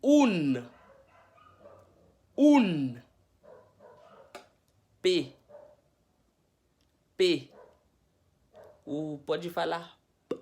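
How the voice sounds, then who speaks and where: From Haiti, casual video lesson, mid pitch, very slow delivery, repeats each letter twice. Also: big pitch swings. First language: Haitian Creole. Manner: spells out each letter twice, loud and drawn out; keeps checking in with viewers ("tá bom?"). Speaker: language teacher on own channel.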